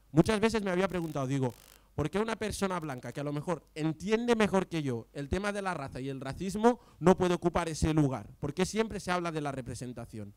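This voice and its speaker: A man's voice: a little high, no room sound. A young man giving an impassioned, argumentative political talk on a panel, posing rhetorical questions into a handheld mic. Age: young adult. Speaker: panel speaker. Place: panel table in an event tent before an audience. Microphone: handheld mic close to the mouth.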